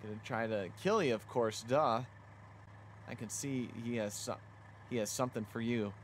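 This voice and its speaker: A man's voice: medium pitch, moderate pace, a little hiss or hum, close to an everyday voice. A man voicing a scheming, jealous young woman villain in a visual novel, flippant and boastful. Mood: gloating menace. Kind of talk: visual novel character read-aloud. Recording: music behind the voice.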